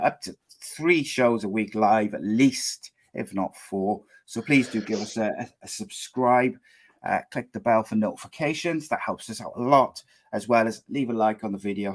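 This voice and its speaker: A man hosting a live podcast show, chatty and loose, with frequent fillers and restarts as he introduces guests and runs the show. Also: lowish voice. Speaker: podcast host.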